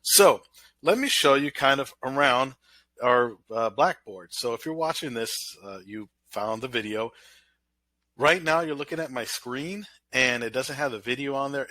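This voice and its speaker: A man's voice: mid-range voice, bright, forceful tone, no room sound. A man, a college instructor, talks through an online course in a casual, rambling way with many fillers. His voice is nasal from an illness. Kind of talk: recorded online course walkthrough.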